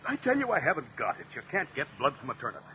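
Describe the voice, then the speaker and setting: An actor playing a blustering, corrupt local politician who sounds cornered and exasperated, in a vintage radio crime drama. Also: male voice, mid-range voice, wildly swooping pitch, quick pace, bright, forceful tone. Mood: defensive, angry. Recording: noisy old radio drama recording.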